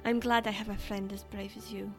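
A man's voice: high voice, level tone, brisk, soft background music, pitched above the performer's own voice. A man voicing a tired, kind young female dryad in a storybook reading. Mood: tender. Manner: soft, subdued.

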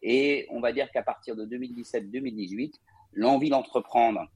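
A man's voice: medium pitch, steady tone, normal speed, darkish tone, breathy edge, no room sound. A man from Paris, cofounder of a real estate company, telling his career story as an interview guest with frequent hesitation fillers. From Paris, France. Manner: fluent, many hesitation fillers.

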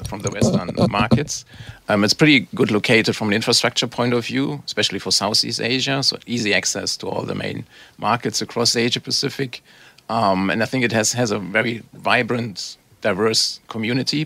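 A man with a German accent, a marketing executive explaining things in a measured way as an interview guest. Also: mid-range voice, creaky, pushed and bright, dry recording. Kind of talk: casual interview chat.

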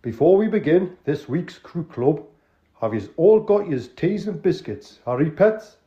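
A man doing a high, bossy Geordie crook boss. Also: sing-song, measured pace, warm tone. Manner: chummy, swearing, calls everyone pet. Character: bossy male crook club leader. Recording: dull lo-fi home recording.

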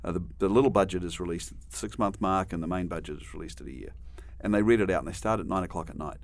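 A man's voice: deep voice, racing. A middle-aged man from New Zealand with a New Zealand accent, a guest telling stories in a rambling way, with many restarts and dry humor. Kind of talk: conversational interview storytelling.

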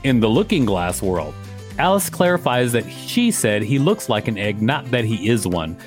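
A man with a mid-pitched voice co-hosts a podcast. He reads reference material aloud and chats casually around it, with guitar music playing behind him. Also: big pitch swings, normal speed. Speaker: podcast co-host. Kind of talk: reading an encyclopedia article aloud with casual commentary.

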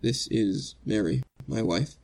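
A man playing an earnest, anxious condemned prisoner in a 1940s radio drama, speaking a little haltingly. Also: lowish voice, expressive, gravelly, darkish tone, husky, exaggerated voice. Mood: subdued, courteous.

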